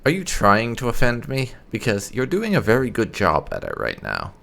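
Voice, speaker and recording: A man hosting a gaming video, giving casual, wry playthrough commentary with some light roleplay in a gravelly voice. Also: mid-range voice, wildly swooping pitch, normal speed, fairly bright tone. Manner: wry, sarcastic. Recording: game music behind. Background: game music.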